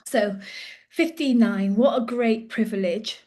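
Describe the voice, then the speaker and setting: A woman's voice: on the high side, slow pace. Chatty British woman in her late fifties. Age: 50s. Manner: warm, chatty, with frequent fillers and restarts. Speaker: leadership coach. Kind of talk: casual direct-to-camera update.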